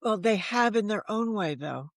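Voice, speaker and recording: A woman aged seventy speaks as a guest in a relaxed interview format, sharing personal stories. Her speech is conversational and unscripted, and the sound is dry, with very little room in it. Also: mid-range voice, moderate pace, dry recording. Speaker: podcast guest, novelist.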